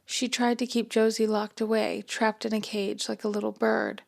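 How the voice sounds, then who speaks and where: A woman reading her own first-person story aloud in a gentle, soft-spoken way. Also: fairly high pitch, little pitch movement, moderate pace, creaky. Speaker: author and narrator.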